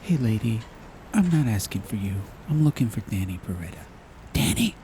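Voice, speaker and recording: A man playing a brash, dorky young New York greaser who charms loudly, in an audiobook story. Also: lowish voice, sing-song, moderate pace, a little hiss or hum. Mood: calling out.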